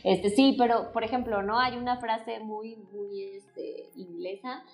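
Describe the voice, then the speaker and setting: A woman with a Mexican Spanish accent hosting a casual talk show in a playful, expressive way, calling people "corazón". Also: fairly high pitch, a little slow, faint music behind. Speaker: host.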